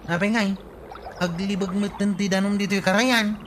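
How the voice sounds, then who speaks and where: An actor playing an easygoing village sidekick with an Ilocano accent in a radio comedy drama. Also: male voice, high voice, steady tone, moderate pace, noisy background, natural voice. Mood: cheerful.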